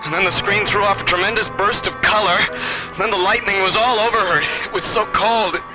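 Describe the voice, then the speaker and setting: An anxious space station technician played by a man in a radio play. The voice is high and excitable, urgent in delivery, with clear dramatic music behind. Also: a little fast, natural voice, rough recording. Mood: shaken.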